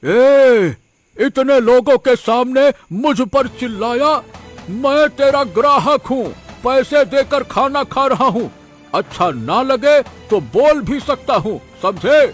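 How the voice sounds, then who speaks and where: A man's voice: very high voice, animated, soft background music, heavily acted. A man voicing a proud, easily offended old village customer in a Hindi cartoon, talking like a scolding elder. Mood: angry. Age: older. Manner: slow, indignant, scolding elder. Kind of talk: Hindi cartoon story dialogue.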